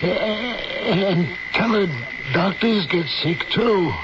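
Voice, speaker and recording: Slow, weary old family doctor. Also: male voice, sits high, muffled recording. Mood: weary, irritable. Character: weary ailing older male small-town family doctor. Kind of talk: old-time radio drama acting. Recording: noisy, band-limited old radio recording.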